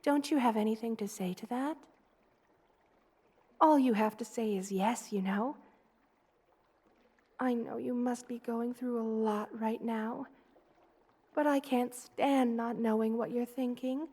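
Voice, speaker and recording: A woman voicing a gentle, doting spider queen in an intimate ASMR roleplay, soothing and tender in manner. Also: on the high side, fairly even intonation. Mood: hesitant, caring. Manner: soothing, tender, coaxing, with slow careful delivery.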